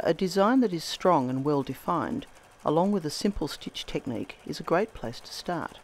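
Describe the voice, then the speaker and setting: A woman hosting a textile art demonstration, with a slightly croaky voice from laryngitis, speaking in a measured, gentle and enthusiastic way. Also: lowish voice. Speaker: textile artist host. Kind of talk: scripted demonstration narration.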